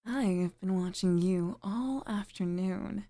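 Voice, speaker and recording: A woman voicing a sweet, middle-aged single mother in an intimate ASMR roleplay, speaking softly and flirtatiously with sighs and pauses. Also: mid-range voice, natural voice. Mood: admiring, playful.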